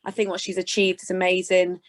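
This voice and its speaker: A woman's voice: fairly low pitch, completely flat delivery, fairly quick. A British woman chatting informally as a guest in an interview, answering in a conversational way. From Scotland and Gloucestershire, England. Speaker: interview guest, sports presenter. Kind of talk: casual interview chat.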